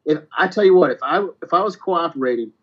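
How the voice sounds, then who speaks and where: Male podcast host, on the high side, profane and slangy, repetitive and blunt, dull lo-fi sound. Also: lively intonation, fast talker, slightly rough. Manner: blunt, repeats himself, slangy street talk. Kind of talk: casual, profane podcast chat.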